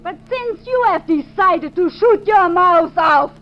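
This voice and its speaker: High-voiced, imperious radio villainess. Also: female voice, lively intonation, slow, a little hiss or hum, heavily acted. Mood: cold, menacing. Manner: curt, commanding. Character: cold, imperious female smuggling boss posing as fortune teller. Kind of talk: 1950s radio drama acting. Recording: dull 1950s radio recording.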